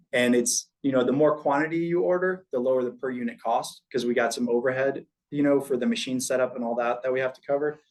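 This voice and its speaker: Casual man showing packaging samples. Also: mid-range voice. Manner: casual, loose, with many fillers and restarts; talks while showing examples. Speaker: packaging company representative. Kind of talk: live panel presentation. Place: event room with an audience.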